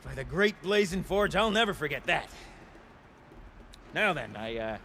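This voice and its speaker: A man's voice: a little high, big pitch swings, measured pace, pushed and bright, slight background noise, exaggerated voice. An actor playing an exuberant, grandiose male ruin delver who talks like a rambling storyteller in a video game cutscene. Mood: jovial, boastful. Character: exuberant, theatrical male adventurer who delves ruins for relics.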